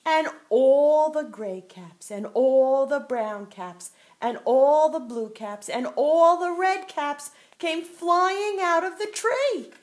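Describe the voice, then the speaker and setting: An older woman, a grandmother, reading a picture book aloud to her grandchild with playful, expressive asides. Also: high voice, slow pace, hardly any pauses. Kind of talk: storybook read-aloud.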